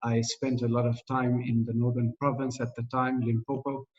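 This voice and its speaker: Male guest in his thirties, reflective and hesitant, mid-pitched voice, heard from a distance on dull, muffled audio. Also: little pitch movement, normal speed, darkish tone. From South Africa. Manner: thoughtful, many fillers, laughs lightly. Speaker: interview guest, financial coach. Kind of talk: reflective interview answers.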